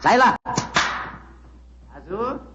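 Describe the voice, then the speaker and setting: A man's voice: very high voice, expressive, drawn-out words, heavily acted. Imperious, menacing male palace mystic, vintage radio play, dulled and faintly noisy audio. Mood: imperious anger. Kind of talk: 1940s radio drama acting. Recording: noisy, dull 1940s radio recording.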